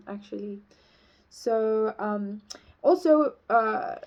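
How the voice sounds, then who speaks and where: A woman's voice: mid-range voice, warm tone, highs cut off. A young woman giving a casual spiritual talk as a teacher, rambling with many fillers and restarts.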